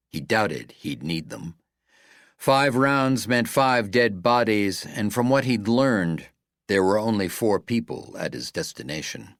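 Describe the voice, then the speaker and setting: A man reading a novel as an audiobook narrator, in a measured, deliberate way with falling phrase endings. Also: lowish voice, no room sound, clean studio sound.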